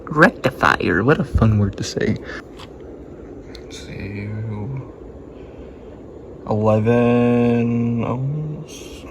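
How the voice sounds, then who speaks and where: Muttering, slow-paced man with a gravelly creak. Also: mid-range voice, slight background noise. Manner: muttering to himself, musing, casually sweary. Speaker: hobbyist radio repairer filming his work. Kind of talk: casual thinking-aloud repair commentary.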